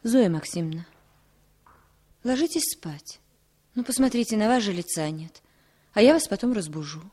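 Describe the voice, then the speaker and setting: A woman's voice: mid-range voice, animated, normal speed, dark tone, a little hiss or hum, natural voice. A woman playing a tired, practical young wife in a 1960s Soviet radio play. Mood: concerned.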